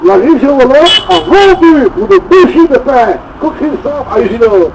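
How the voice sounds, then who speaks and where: A man's voice: extremely high pitch, very animated, moderate pace, vocal fry. A man with a Belgian French accent giving an emphatic, indignant political speech from notes at an outdoor gathering, with sardonic asides. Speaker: political activist speaker at a citizens' rally. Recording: muffled distant outdoor recording with faint traffic noise.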